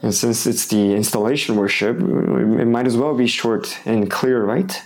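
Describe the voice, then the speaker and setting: Man, pastor, hoarse from a cold, mid-pitched, halting sermon delivery full of restarts. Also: steady tone, slight background noise, poor audio. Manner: halting, with frequent restarts and fillers.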